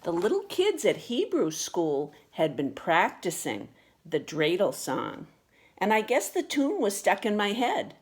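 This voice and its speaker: A woman reading a children's picture book aloud with expressive, deliberate storytelling delivery. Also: medium pitch, slightly rough. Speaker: storybook reader.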